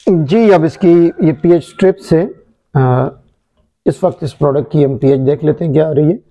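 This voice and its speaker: A man explaining a formulation step by step, unhurried and hesitant, in an indoor workshop. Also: on the high side, warm tone, rough recording. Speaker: chemistry channel presenter. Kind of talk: hands-on formulation demonstration.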